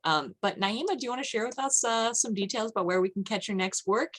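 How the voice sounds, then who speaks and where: A woman's voice: mid-range voice, fast talker. A woman moderating an audience question session in a casual, conversational style on an online call. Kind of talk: casual post-show discussion.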